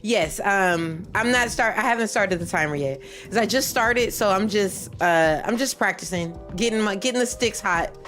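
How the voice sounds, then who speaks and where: A woman's voice: medium pitch, moderate pace, hardly any pauses, a bit bright. Woman streamer, stuffy nose, nasal, rambling casual chat, faint game music behind. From Michigan. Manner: rambling, repeats herself, chats with stream chat. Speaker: livestreamer playing a speedrun practice. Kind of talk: casual chat with viewers while gaming.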